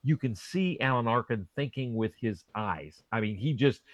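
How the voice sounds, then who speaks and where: A man's voice: medium pitch, expressive, normal speed, no echo, muffled recording. A man in casual podcast chat, enthusiastic, often repeating words and restarting his sentences.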